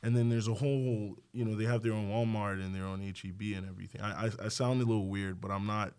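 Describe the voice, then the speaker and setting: A man's voice: fairly low pitch, fairly even intonation, fast talker, darkish tone, clear, resonant tone. A man from Nigeria, chatting casually as a doctor and podcast guest in a self-deprecating, joking way. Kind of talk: casual interview chat.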